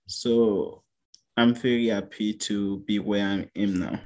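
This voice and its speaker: A man's voice: on the low side, steady tone, slow pace, darkish tone. A man in his thirties from Haiti with a Haitian accent, answering a podcast interview in friendly, simple English. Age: 30s. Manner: friendly, simple non-native English with fillers like "like, you know". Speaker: doctoral student guest.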